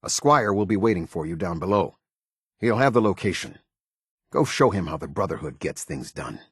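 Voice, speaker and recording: An American man playing a stern, formal military officer in a video game, speaking with husky, clipped, measured authority. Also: deep voice, very animated, fairly bright tone, no room sound, studio quality, exaggerated voice. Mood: instructive, steady. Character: stern, formal male military officer of a post-apocalyptic order. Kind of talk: video game character dialogue.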